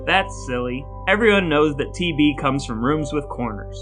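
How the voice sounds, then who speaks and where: Higher-pitched man hosting a podcast. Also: lively intonation, normal speed, music in the background. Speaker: podcast host. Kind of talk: scripted podcast intro and casual banter.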